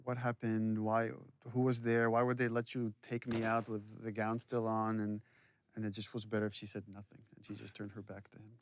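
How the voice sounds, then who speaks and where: A man's voice: lowish voice, completely flat delivery, a little fast, slight background noise. A man talking reflectively about a game as its director, in a conversational commentary. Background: game scene audio playing.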